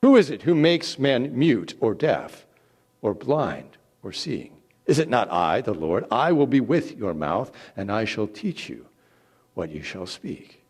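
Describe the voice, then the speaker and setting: A man voicing a solemn, commanding male God who speaks with deliberate authority in a dramatic scripture reading. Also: medium pitch, very animated, darkish tone, husky, full character voice. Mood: stern.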